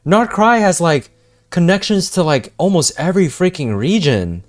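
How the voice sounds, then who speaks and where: A woman game streamer giving casual, slangy live reaction commentary with drawn-out exclamations. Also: on the low side, big pitch swings, a little mellow. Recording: game music behind the voice.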